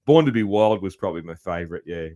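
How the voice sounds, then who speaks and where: Man, host; very low voice, relaxed, chatty, loud, quick to laugh. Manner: laid-back, laughs easily, calls people "man". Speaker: podcast host. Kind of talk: casual interview chat.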